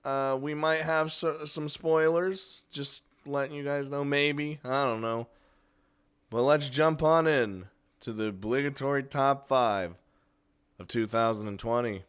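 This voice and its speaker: A man's voice: mid-range voice, unhurried, fairly bright tone, clear, resonant tone. A man hosting a casual, unscripted countdown of games, chatty and crude, swearing freely. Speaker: video host and game reviewer.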